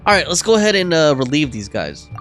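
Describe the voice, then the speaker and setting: Animated man commenting casually on a game. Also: on the high side, quick pace, faint music behind. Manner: amused, slangy. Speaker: gaming commentator.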